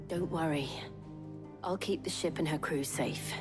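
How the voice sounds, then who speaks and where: A woman playing a calm, dependable warrior in a cinematic video game, speaking in a composed, reassuring way. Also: low-pitched, unhurried, music in the background.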